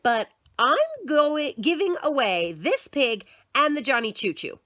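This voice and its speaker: A woman's voice: sits high, big pitch swings, measured pace, fairly bright tone, no room sound, studio quality, full character voice. A woman voicing an exasperated mother who bargains firmly with her son over his toys, reading a storybook aloud. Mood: firm bargaining. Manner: firm, impatient bargaining.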